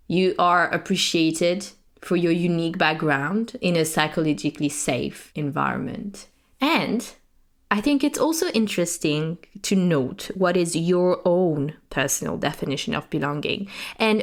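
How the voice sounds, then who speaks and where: A woman in her thirties, from France, hosts a podcast in a reflective, earnest monologue. Her voice sits low for a woman. She often fills her sentences with "you know" and "um" as she thinks aloud. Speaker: podcast host.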